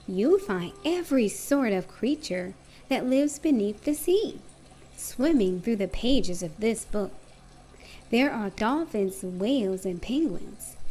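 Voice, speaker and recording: A woman reading a rhyming children's book aloud in an expressive, deliberate way. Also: fairly high pitch. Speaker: storybook reader.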